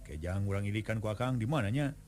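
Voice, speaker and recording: A man's voice: fairly low pitch, expressive, fast talker, a little mellow, faint music behind, natural voice. A man voicing a young hero who leads his brothers, speaking in a mild, wondering tone. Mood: pleased.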